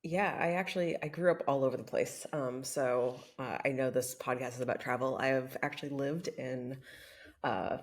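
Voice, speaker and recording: A woman's voice: extremely low pitch, steady tone, normal speed. A woman, a podcast guest, speaking thoughtfully and casually with fillers. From United States.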